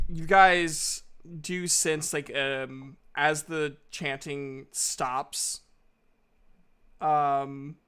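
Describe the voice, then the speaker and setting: A man improvising narration as game master of an online tabletop game, with halting, hesitant phrasing. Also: on the high side, a bit bright, clean tone.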